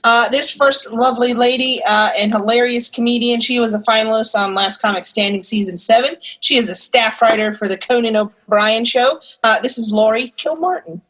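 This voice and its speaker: A woman co-hosting a comedy podcast over a video call, gushing and excitable as she introduces guests. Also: on the high side, moderate pace, hardly any pauses, poor audio. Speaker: podcast co-host.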